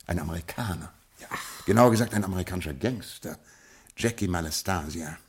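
An actor playing a ruined, absent-minded Scottish lord in a 1950s radio drama, with a stately, fatalistic manner. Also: male voice, low-pitched, fairly quick, slightly rough. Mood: resigned stately.

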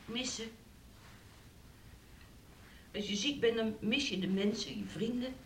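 A woman's voice: fairly high pitch, a little slow, slight background noise, close to an everyday voice. An actress playing a sick, bedridden young woman in a radio play, plaintive and pleading with her fiancé. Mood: wistful, hurt. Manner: plaintive, speaks of herself in third person.

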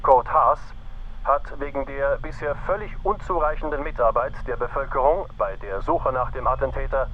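A man's voice: medium pitch, normal speed. A man playing an official 1940s radio newsreader in a radio play, formal, through an old radio filter. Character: official wartime male radio newsreader in occupied Prague. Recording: dull, hissy old radio sound.

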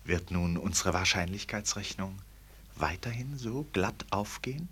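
Witty radio play narrator, wry and theatrical, voice goes up and down loads. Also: male voice, low-pitched, expressive, measured pace. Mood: wry, musing. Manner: theatrical, wry, rhetorical questions. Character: urbane, ironic male storyteller who banters with the characters.

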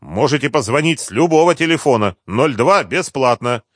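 A man's voice: mid-range voice, animated, normal speed, dry recording, studio quality, voice pushed up, close to an everyday voice. A man voicing a cold, curt, unyielding woman doctor in an audiobook. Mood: cold dismissal. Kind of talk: audiobook character dialogue.

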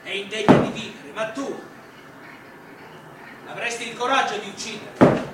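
Actor as high-pitched, insistent, murderous persuader. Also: male voice, fairly even intonation, normal speed, natural voice. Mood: cold, goading. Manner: insistent, rhetorical, contemptuous. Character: cold, fervent man arguing coolly for murder. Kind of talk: theatrical radio drama acting. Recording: distant, very echoey, noisy drama recording.

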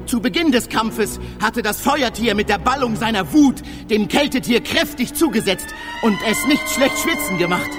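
An actor playing a traveler who narrates a fantastical battle of beasts in a measured, theatrical radio drama manner. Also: male voice, very high-pitched, expressive. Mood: descriptive, suspenseful. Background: music.

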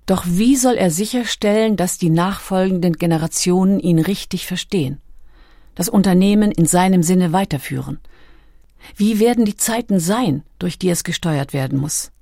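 A woman reading scripted documentary narration in a measured, factual manner. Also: fairly low pitch, warm tone, no echo, clean studio sound.

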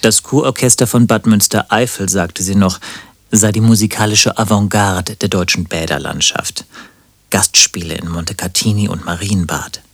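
A man playing a lovesick, wry radio-play protagonist who speaks in a deadpan, literary way. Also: sits low, moderate pace, no room sound. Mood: dry narrating. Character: lovesick, melancholy, wry man narrating his own absurd story. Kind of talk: German radio play acting and narration.

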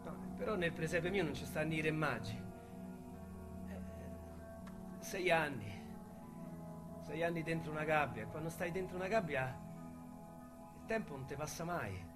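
Guy doing a roman small-time crook fresh out of jail, high voice, streetwise and mouthy, music under it. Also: animated, normal speed, pauses often, close to an everyday voice. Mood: wistful. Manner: brash street talk, swinging to quiet confession. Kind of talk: radio drama acting.

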